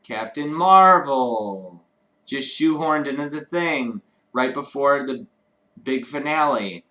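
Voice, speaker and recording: Man from Chicago, livestream co-host, loose profane chatter, average pitch. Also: lively intonation, slow pace, pushed and bright. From Chicago, United States. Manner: rambling, profane, laughs often. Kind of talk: casual chat. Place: home streaming studio.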